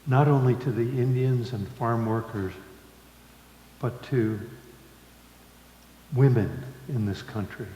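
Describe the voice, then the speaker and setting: Slow, mellow man preaching in echoey hall. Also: mid-range voice, muffled recording. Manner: deliberate, halting short phrases with long pauses. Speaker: worship leader. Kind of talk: solemn congregational sermon. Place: large congregation hall. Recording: echoey reverberant hall with background noise.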